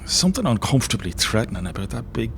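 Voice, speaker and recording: A man's voice: on the low side, sing-song, fast talker, creaky, fairly bright tone, slight background noise, heavily acted. An Irish man playing a wry, grumbling working-class rebel volunteer in an audio play, colloquial and comic.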